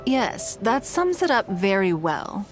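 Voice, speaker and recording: Refined businesswoman in a game, shrewd, composed, measured, elegant. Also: medium pitch, big pitch swings, faint music behind. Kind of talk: game dialogue.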